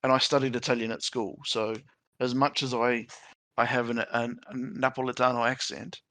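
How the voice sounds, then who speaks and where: Aussie guy, middle-aged, casual rambly podcast chat, crisp bright tone, dead dry room. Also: medium pitch, little pitch movement, normal speed, no echo. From Australia. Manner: loose and chatty, leans on "you know" and "um". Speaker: podcast host.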